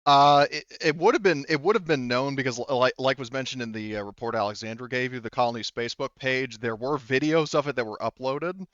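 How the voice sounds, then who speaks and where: A man acting as game master, explaining a tabletop roleplaying game in a halting way, often repeating words. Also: medium pitch, a bit bright, dry recording, muffled recording.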